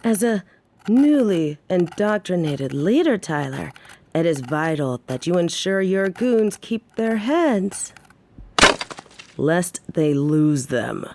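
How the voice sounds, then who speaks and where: A woman as a low, cold mafia boss. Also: animated, background noise. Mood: cold sarcasm. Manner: formal, deliberate, sardonic. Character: cold vengeful female mafia boss, dryly sarcastic. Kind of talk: audio roleplay acting.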